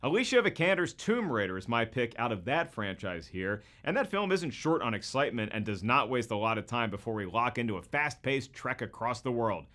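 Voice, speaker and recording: A man presents a scripted video commentary as its host. His voice sits at an average pitch, and his delivery is colloquial and emphatic, with jokey asides worked into the script. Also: sing-song, brisk, hardly any pauses, sharp tone.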